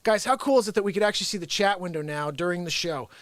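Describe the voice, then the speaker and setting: A man from the United States hosting an unscripted live stream, rambling excitedly, chuckling and greeting viewers by name. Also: sits high, fast talker, no room sound. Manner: hypes the show with catchphrases. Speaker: livestream show host.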